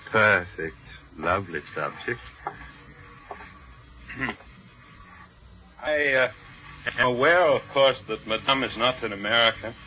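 An actor playing a scheming exiled portrait painter who blackmails with formal, courtly manners, in a 1940s radio drama style. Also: male voice, medium pitch, animated, slow, long pauses, bright, forceful tone. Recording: muffled old radio broadcast with faint hiss.

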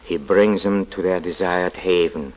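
A sinister, deeply pious lodger who speaks in a very low, hesitant voice, stammering through his words before turning stern. The sound is dull and muffled, as on an old radio broadcast. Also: steady tone, a little slow. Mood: weary. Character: sinister pious male lodger, weary and zealous. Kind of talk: old-time radio drama acting.

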